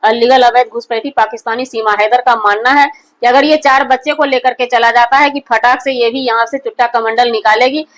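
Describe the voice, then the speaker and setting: A woman's voice: fairly high pitch, level tone, fairly quick. A woman gives opinionated news commentary in an emphatic, repetitive and accusatory manner. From India. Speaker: online commentary host.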